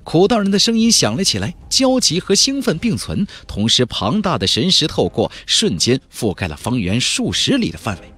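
A man's voice: on the high side, wildly swooping pitch, moderate pace, few pauses, a bit bright, breathy edge, soft background music. A man narrating a fantasy novel as a dramatic scripted audiobook.

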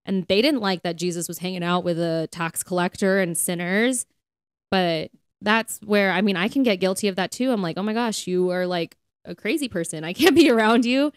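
A woman with a smooth, crisp voice at a middle pitch, recorded close and dry. She co-hosts a faith podcast in a conversational, casual way. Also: moderate pace, no echo. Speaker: podcast co-host.

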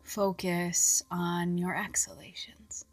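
A woman reading a scripted guided relaxation in a soft, soothing, measured voice with long pauses and breathy phrase endings. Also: fairly low pitch, flat delivery. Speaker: guided meditation narrator. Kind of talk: scripted hypnobirthing relaxation guidance. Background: music.

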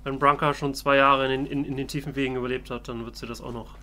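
A man's voice: mid-range voice, flat delivery, quick pace, sharp tone. A man doing casual, in-character let's play commentary, musing and thinking aloud. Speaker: let's player.

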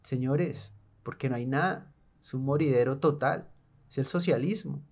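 A man's voice: medium pitch, moderate pace, a little mellow. A young man from Colombia giving sarcastic, indignant political commentary in a small room. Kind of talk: opinionated video commentary.

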